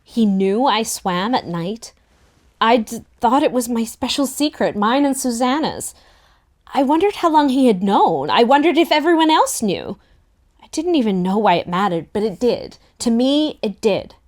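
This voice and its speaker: A woman's voice: fairly high pitch, sing-song, normal speed. Wistful teenage girl narrator, voiced by a woman. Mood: unsettled. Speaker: table-read performer. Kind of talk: first-person audiobook narration with acted dialogue.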